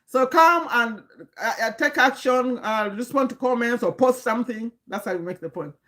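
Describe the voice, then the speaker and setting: This is a woman, coaching viewers on a live stream. Her voice sits a little high, and she lectures earnestly, stopping and restarting her sentences as she goes. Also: moderate pace, fairly bright tone. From Uganda. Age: 50s. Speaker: livestream host and community coach.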